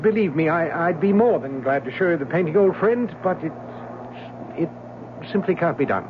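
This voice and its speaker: A man's voice: on the high side, lively intonation, normal speed, close to an everyday voice. An actor playing a nervous, secretive man in a 1970s radio drama, stammering and apologetic as he dodges questions. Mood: evasive. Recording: muffled old radio broadcast, hiss.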